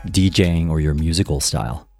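A man's voice: extremely low pitch, brisk, vocal fry, a little mellow, dry recording, soft background music. A man hosting an interview, asking questions in a friendly, curious, conversational way. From United States. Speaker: podcast host.

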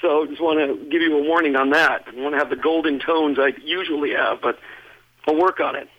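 A middle-aged man with a fairly high, hoarse voice trades jokes as a guest on a radio show. His voice comes through a little dull and muffled. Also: fairly even intonation, fairly quick. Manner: joking, playful banter.

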